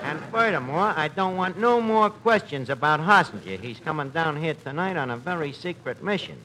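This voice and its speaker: An actor playing a pompous, wisecracking tavern manager with rough streetwise diction in a 1940s radio comedy. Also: male voice, on the high side, animated, close to an everyday voice. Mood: sardonic. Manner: pompous, slow deliberate punchlines. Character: wisecracking, malapropism-prone tavern manager, brash and self-important. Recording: noisy vintage 1940s radio recording.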